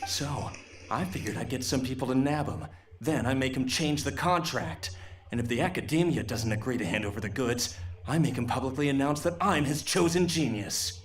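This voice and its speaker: A man's voice: medium pitch, normal speed, slight background noise, close to an everyday voice. A man playing a bitter, entitled grown son in an anime-style game, speaking in a sneering, resentful, theatrical manner. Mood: scheming. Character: bitter, entitled grown son scorning his estranged father.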